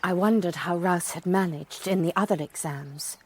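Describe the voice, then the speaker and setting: An actress playing a sharp-eyed, wry former schoolmistress, telling a mystery in a precise, measured way for radio drama. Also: female voice, on the low side, slightly rough. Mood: wry, reflective. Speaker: narrator and lead character. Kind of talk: first-person dramatised narration, radio drama.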